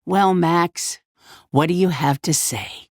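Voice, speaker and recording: An actress playing a poised, commanding woman who leads a survivor settlement in a video game, speaking in a measured, courteous but firm way. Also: fairly low pitch, no room sound, clean studio sound. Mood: indignant, accusing. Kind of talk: dramatic video game dialogue.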